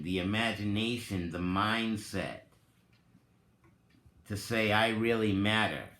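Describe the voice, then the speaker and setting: A man speaks slowly and emphatically in a bright, forceful voice, like someone delivering a dramatic reading. His delivery is deliberate and reflective, and the room around him adds a clear echo. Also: sits low. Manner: deliberate, emphatic, with pointed rhetorical questions. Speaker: host giving a reading and commentary. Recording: roomy, echoing sound.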